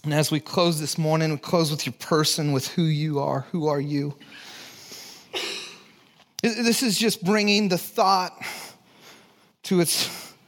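A man with a Texan accent preaching a conversational, animated sermon, dropping to hushed low lines and jumping into lively high asides. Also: takes it slowly, pauses often. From Texas. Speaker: pastor. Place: church sanctuary.

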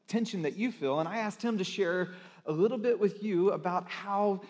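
A man's voice: high-pitched, moderate pace, a little mellow. A man addressing a congregation informally as a pastor. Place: large church room, live address. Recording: long hall reverb.

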